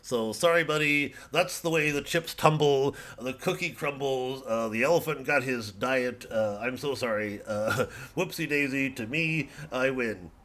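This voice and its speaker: A man giving a rambling, digressive comedian's monologue, halting and sardonic, peppered with casual filler phrases. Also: mid-range voice, fairly bright tone. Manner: sardonic, mocking, profane when worked up. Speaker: comedian promoting his show.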